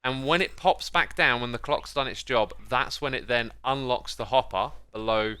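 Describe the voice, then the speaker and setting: A man's voice: medium pitch, normal speed, bright, forceful tone, clear, resonant tone, no echo. A man hosting a gaming video, chatting casually and explaining a build step by step. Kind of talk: casual chat while playing and explaining a game build.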